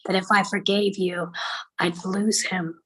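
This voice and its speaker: Raspy-edged, bright-voiced grieving mother, acted by a woman. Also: mid-range voice, level tone, rough recording. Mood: anguished. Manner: halting, emotional, drops into whispers. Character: grieving mother recalling her young son, anguished but tender. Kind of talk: dramatic table read of a screenplay.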